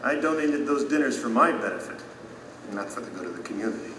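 Humble, regretful citizen: mid-pitched, expressive, earnest confession with thoughtful pauses, echoing hall setting. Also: male voice, recorded from a distance, a little hiss or hum. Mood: remorseful. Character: humble, remorseful male citizen, gently earnest. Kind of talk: audio drama acting. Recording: echoey hall, music behind.

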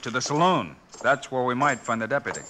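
Bright-voiced, commanding cowboy hero man. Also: mid-range voice, expressive. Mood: resolute. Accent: American. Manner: firm, unhurried, authoritative. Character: calm, courteous, commanding cowboy hero lawman. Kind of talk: 1950s Western radio acting. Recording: noisy vintage radio recording.

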